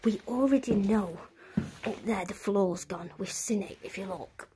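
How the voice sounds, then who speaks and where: A woman's voice: mid-range voice, unhurried, background noise. A woman whispering cautiously as she films her walk through a derelict building on a phone. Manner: hushed, cautious, often whispering. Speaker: urban explorer filming. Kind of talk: whispered exploration vlog. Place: derelict brick building, indoors. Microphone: handheld phone camera.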